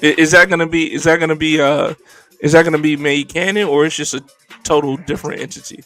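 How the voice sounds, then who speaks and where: A man's voice: on the high side, fairly even intonation, fast talker, fairly bright tone, faint music behind. A man hosting a casual anime podcast, joking and laughing easily as he introduces his co-hosts. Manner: laid-back, joking, laughs often. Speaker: podcast host.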